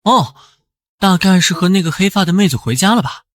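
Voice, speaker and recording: A man voicing a flustered, cheeky young man in an animated romance drama, with theatrical, exclamatory delivery. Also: sits high, fairly quick. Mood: shocked. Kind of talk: animated drama acting with inner monologue.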